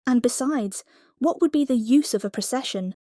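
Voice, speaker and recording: A woman's voice: a little high, normal speed, dry recording, studio quality, pitched above the performer's own voice, lightly acted. A woman voicing a polite but plucky, curious young girl in a storybook. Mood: musing. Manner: polite, bright-spirited, decided. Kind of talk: storybook character voice.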